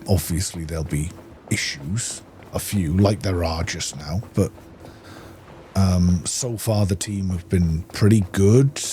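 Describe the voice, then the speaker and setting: A man's voice: fairly low pitch, dark tone, a little hiss or hum. A man giving casual gameplay commentary, rambling and thinking aloud as he goes. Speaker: gaming video host.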